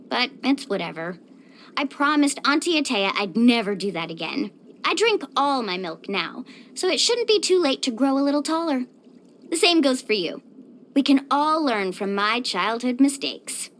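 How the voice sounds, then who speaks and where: A woman voicing an upbeat, caring, sporty young surfer in a video game, speaking in a peppy, expressive, friendly way. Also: high-pitched, normal speed, slight background noise. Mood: candid, upbeat. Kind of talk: scripted video game voice acting.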